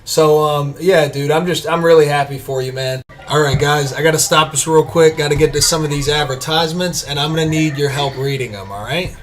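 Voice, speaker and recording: A man's voice: on the high side, steady tone, a little fast, hardly any pauses. Podcast host, male, eager chatty delivery, fades at phrase ends. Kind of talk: casual chat and ad reads.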